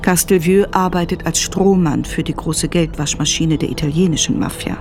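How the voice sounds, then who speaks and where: A woman giving a measured, scripted narration in a radio drama. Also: low-pitched, darkish tone, soft background music.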